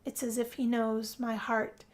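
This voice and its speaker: Woman acting weary young female game character, very unhurried, sighing, dramatic first-person storytelling, slightly high pitch. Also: level tone, lightly acted. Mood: frustrated. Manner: sighing, drawn-out, confessional delivery. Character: young woman Yazmin, moody, weary, being turned vampire. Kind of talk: first-person dramatic role-play narration over a game. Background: guitar music.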